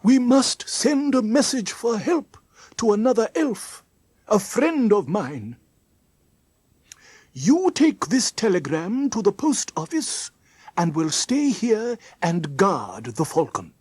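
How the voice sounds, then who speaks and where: Narrator doing smooth scheming gent from a kids story, patronising, slow, wildly up and down. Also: medium pitch, very animated, a little mellow, no room sound, pitched above the performer's own voice, heavily acted. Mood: urgent, instructing. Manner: coaxing, condescending endearments. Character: genial, smooth-talking gentleman, patronising and scheming. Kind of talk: children's storybook character voice.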